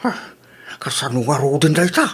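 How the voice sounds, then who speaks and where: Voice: medium pitch, very animated, a little fast, fairly bright tone, breathy edge, heavily acted. A performer with an Ilocano accent playing a grumbling, complaining old husband and father in a radio comedy drama, with exaggerated exclamations. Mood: resigned grumbling.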